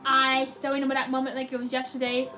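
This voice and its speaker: Woman streamer, high and robotic-sounding, chatty and excitable, faint music behind. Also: fast talker. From United States. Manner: chatty, excitable cheering at spins. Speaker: slot machine live streamer. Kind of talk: live stream commentary reading chat.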